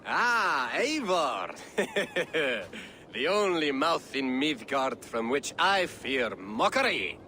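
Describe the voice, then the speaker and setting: A man's voice: on the high side, very animated, drawn-out words, pushed and bright, slight background noise, heavily acted. An actor voicing a playful older male poet and mentor, who speaks with theatrical, rhythmic delivery in a video game cutscene. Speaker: game character.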